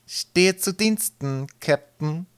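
A man's voice: a little high, expressive, slow pace, clean tone, voice pushed up. A man voicing a sly, obliging pirate ship's mate with a falsely innocent manner in an audiobook. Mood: feigned innocence. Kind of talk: audiobook character acting.

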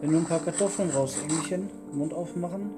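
A man's voice: a little high, fairly quick. A man chatting casually and teasingly as he plays a game as a let's player. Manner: jokey, teasing. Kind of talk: casual gameplay chat. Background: music.

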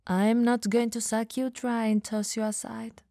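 A woman playing a teasing, dominant but caring vampire in an ASMR audio roleplay, breathy and gravelly, often dropping to whispers, coaxing and playfully condescending. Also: fairly high pitch, level tone, measured pace, mellow, no room sound, studio quality. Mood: wry, defensive.

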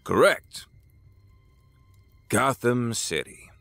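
An actor playing an older crime boss in an audio drama, patronizing and deliberate, with a smug, folksy menace. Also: male voice, mid-range voice, very animated, gravelly, fairly bright tone, no room sound, soft background music, full character voice. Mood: smug, persuasive.

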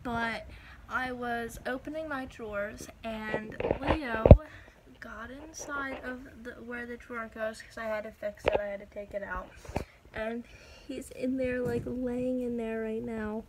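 A woman's voice: fairly high pitch, fairly even intonation, unhurried, very noisy. A young woman in her twenties chatting casually to her phone camera in an upbeat vlog, with lots of fillers. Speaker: vlogger. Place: hotel room and outdoors at a beach town. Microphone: phone camera at arm's length.

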